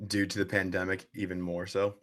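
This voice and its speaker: A man's voice: sits low, monotone, brisk, a bit bright. A young man in his twenties hosting a podcast interview, eager but hesitant, with many fillers. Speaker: podcast host, student. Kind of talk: casual interview conversation.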